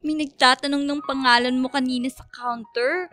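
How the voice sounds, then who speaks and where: A young Filipina-accented woman, the loyal, pushy best friend in a radio drama. Her voice sits high and she talks in a chatty, matchmaking way. Light music plays underneath. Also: steady tone, normal speed, bright, forceful tone. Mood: excited, insistent.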